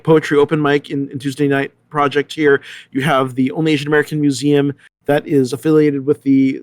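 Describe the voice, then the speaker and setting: A man's voice: on the high side, no room sound. A man speaking as a panel guest in a casual discussion, emphatic and discursive.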